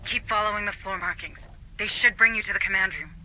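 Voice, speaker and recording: An actor playing an alert, dryly sardonic military operator guiding a squad in a video game. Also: male voice, sits high, fast, pushed and bright. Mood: focused. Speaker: mission coordinator on comms. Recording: noisy game ambience behind.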